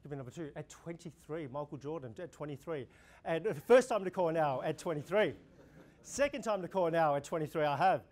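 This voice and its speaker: A young man with an Australian accent, an auctioneer running a practice auction, calling bids in a steady repeating rhythm. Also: fairly high pitch, fairly quick. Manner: rhythmic, repetitive bid calling, gestures while talking. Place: indoor room before a projection screen.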